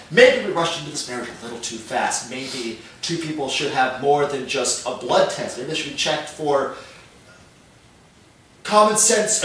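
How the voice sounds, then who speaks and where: A man acts out a weary, sarcastic young husband whose voice sits fairly high and swings widely as he argues. He sounds distant, in an echoey room with faint hiss. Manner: dry, sarcastic, rising to yelling. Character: exasperated young husband, a lawyer. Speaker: husband in a quarrel.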